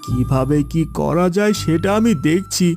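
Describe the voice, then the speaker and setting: A man voicing a humble, indebted village father in a cartoon, gentle, anxious and apologetic. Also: high voice, wildly swooping pitch, normal speed, gravelly, faint music behind, full character voice. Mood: tender, worried. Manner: apologetic, pleading. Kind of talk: Bengali cartoon voice acting.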